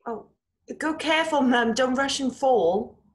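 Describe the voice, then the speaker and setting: An actress plays a seriously ill daughter in a drama. She is slow and halting, earnest and pleading, trying hard to stay practical. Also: high voice. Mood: anxious, caring. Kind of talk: stage drama scene acting.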